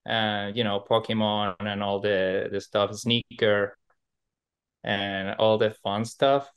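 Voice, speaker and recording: A man's voice: fairly low pitch, fairly even intonation, slow, smooth. A man from Venezuela, a podcast guest who rambles with lots of fillers and restarts. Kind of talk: casual podcast interview.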